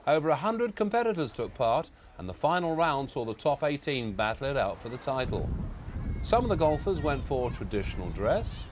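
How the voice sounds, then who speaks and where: A man's voice: mid-range voice, sing-song, moderate pace. A British man presenting sports news in broadcast style.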